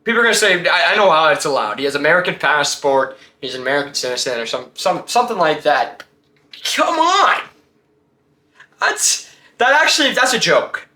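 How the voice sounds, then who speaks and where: Bright-voiced man talking sports in echoey room. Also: a little high, very animated, moderate pace. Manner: blunt, ranting, rhetorical questions, slangy. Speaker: host of a sports talk show. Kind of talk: casual, opinionated sports commentary.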